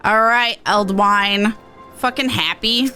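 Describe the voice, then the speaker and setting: A woman's voice: medium pitch, slow pace, sharp tone. A woman game streamer giving casual, sweary live commentary as she plays. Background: game music.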